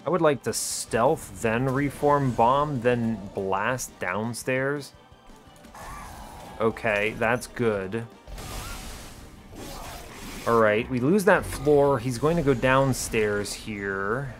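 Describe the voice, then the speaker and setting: Slow-paced man doing dry gameplay commentary. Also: medium pitch. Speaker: gaming video host. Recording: music behind the voice.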